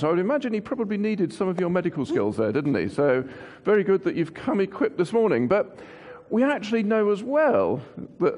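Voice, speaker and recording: A man's voice: high voice, a little mellow. A jovial man interviewing in a playful, wordy way, often repeating himself, as if before an audience. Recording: echoey hall with long reverb.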